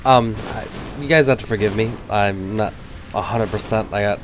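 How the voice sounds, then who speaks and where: Man, 30s, stuffy-nosed from a cold, easygoing gamer voice-over, a bit low-pitched. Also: moderate pace, noisy background. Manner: rambling, self-deprecating. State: nasal from a cold. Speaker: gaming commentator. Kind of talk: casual gameplay commentary.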